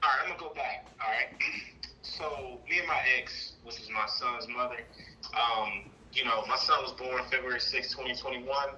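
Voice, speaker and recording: A male caller with a strikingly bright, forceful voice and medium pitch tells a personal story. He speaks colloquially and circles around details haltingly, over a call with slight background noise. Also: dull audio. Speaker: caller, trucking business owner.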